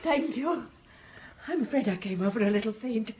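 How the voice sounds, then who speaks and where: A woman acting a genteel British lady in shock in a radio mystery play. Her high voice is fluttery and dramatic, with faint noise behind it. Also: lively intonation, normal speed, darkish tone, close to an everyday voice. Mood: faint, flustered.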